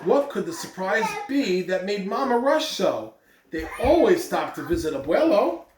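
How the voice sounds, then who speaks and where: School principal, American man, upbeat and emphatic, animated intonation, echoey distant pickup. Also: sits high, normal speed, crowd noise. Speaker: school principal reading announcements. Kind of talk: morning announcements and children's read-aloud. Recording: roomy, distant mic with background noise.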